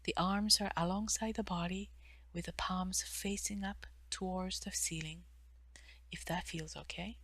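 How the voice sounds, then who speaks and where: A woman guiding a mindful stretching meditation in a soft, breathy voice, giving gentle, measured instructions with pauses and phrases that often fade toward a whisper. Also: on the low side, fairly even intonation, no room sound. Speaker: meditation guide, therapist.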